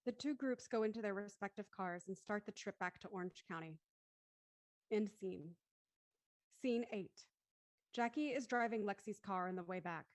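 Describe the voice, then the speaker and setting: A woman's voice: mid-range voice, normal speed. Female narrator for a scripted play, mid-range voice, reading scene directions.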